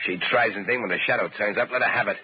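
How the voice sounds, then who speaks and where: An actor playing a curt, menacing American gangster boss who barks slangy orders in 1930s radio style. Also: male voice, on the low side, racing, natural voice. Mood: bossy. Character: hard-boiled gangster boss, menacing, bossy and impatient. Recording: scratchy, noisy, narrow-band 1930s radio.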